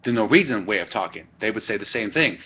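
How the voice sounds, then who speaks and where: A man's voice: fairly low pitch, expressive, fast, slightly rough. A man lecturing a class, rambling and correcting himself as he goes, recorded by a webcam. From Sweden. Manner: rambling, digressive, self-correcting. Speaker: teacher. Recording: dull lo-fi webcam audio.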